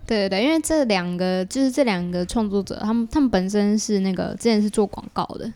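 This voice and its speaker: A woman's voice: medium pitch, quick pace, mellow, clean tone. A woman with a Taiwanese Mandarin accent, explaining things in a casual interview as a podcast guest. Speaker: podcast guest, creator of an audio theatre work.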